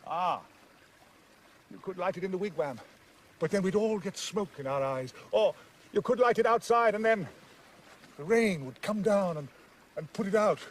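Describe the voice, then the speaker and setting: A doleful marsh creature for an audio drama. His voice sits fairly high for a male, lugubrious and fretful, forever forecasting disaster. Some background noise surrounds him. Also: lively intonation, normal speed, pauses often. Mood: dolefully pessimistic. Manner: lugubrious, always predicting the worst.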